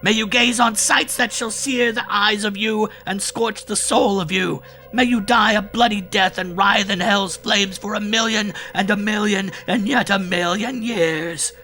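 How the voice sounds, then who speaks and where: A man's voice: sits high, steady tone, unhurried, few pauses, pushed and bright, faint music behind, pitched above the performer's own voice, heavily acted, poor audio. A man voicing a grim, gaunt old woman, screaming a theatrical curse in archaic speech for a horror audiobook. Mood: furious cursing.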